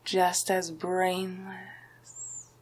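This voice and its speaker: Female performer for male sorcerer-vizier villain, ASMR roleplay: breathy half-whisper, very drawn-out delivery, gloating, hypnotic, domineering. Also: on the low side, monotone, exaggerated voice. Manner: slow, deliberate, gloating, hypnotic.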